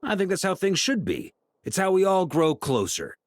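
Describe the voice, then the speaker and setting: A man's voice: high voice, wildly swooping pitch, normal speed, a little hiss or hum. A man playing an easygoing, supportive young adventurer in a video game, casual and reassuring.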